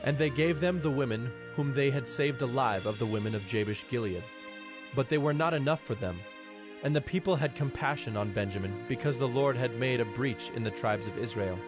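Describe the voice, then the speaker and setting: A man narrates scripture as part of a dramatized audio production. His pitch sits in a middle male register, and music plays softly behind him. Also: brisk. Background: background music.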